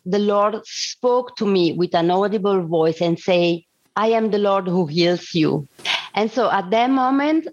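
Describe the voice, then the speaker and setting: Swiss Italian woman in her forties telling her story in careful, halting English, on a dull recording. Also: mid-range voice. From Italian-speaking Switzerland. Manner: halting, repeats words while searching for English phrasing. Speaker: missionary guest. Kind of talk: personal testimony interview.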